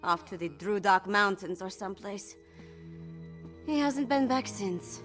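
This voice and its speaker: A woman's voice: mid-range voice, animated, unhurried, a bit bright, soft background music. A woman playing a rebellious young princess in a video game, haughty, brash and flippant. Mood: flippant, sardonic. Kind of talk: video game character dialogue.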